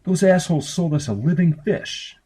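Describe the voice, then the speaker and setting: A man's voice: on the high side, lively intonation, moderate pace, warm tone, breathy edge, voice pushed up. A man voicing an exasperated, indignant young boy in a story reading. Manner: indignant, cursing. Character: exasperated young boy, bratty girl's brother.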